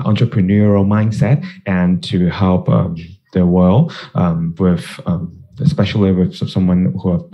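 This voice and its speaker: A man from Hong Kong speaking as a panelist at an online conference, halting often with fillers. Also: sits low, warm tone. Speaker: panelist, education founder.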